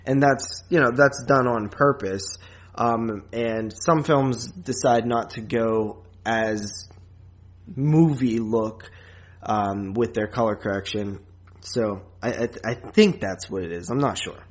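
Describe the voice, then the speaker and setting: A man co-hosting a podcast, talking casually about films in a rambling, halting way. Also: on the low side. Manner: rambling, halting, filler-heavy. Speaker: podcast co-host. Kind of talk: casual movie discussion.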